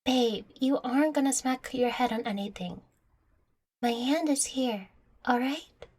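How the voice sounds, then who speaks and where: Slow, high-voiced playful catgirl girlfriend played by a woman. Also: a little mellow. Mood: caring, guiding. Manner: teasing, coaxing, tender. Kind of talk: intimate audio roleplay addressed to the listener.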